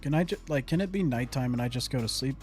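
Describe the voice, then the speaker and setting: A man's voice: medium pitch, expressive, fast talker, darkish tone, soft background music. A young man giving casual gameplay commentary, muttering to himself, humming and repeating phrases. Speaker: gaming streamer.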